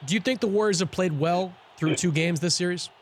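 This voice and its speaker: A man's voice: high voice, fairly quick, slight background noise. A man hosting a sports radio show, putting questions and comments to a guest in a conversational interview style.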